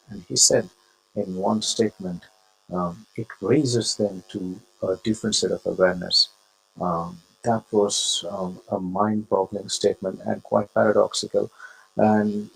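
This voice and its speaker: A man giving an academic lecture, pausing often with filler sounds. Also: fairly low pitch, gravelly, slightly rough, low-quality recording. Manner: hesitant, many filler sounds. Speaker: guest lecturer.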